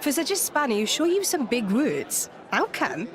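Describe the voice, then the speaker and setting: An actress playing a feisty, sarcastic young companion in a video game, blunt and teasing. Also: female voice, high voice, sing-song, normal speed. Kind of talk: animated video game voice acting. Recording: noisy ambience and crowd behind.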